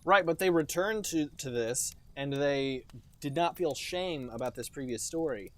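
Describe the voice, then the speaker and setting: A man's voice: fairly high pitch, lively intonation, measured pace, fairly bright tone. A man co-hosting a comedy podcast, chatting casually and playfully and laughing easily at the material. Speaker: podcast co-host.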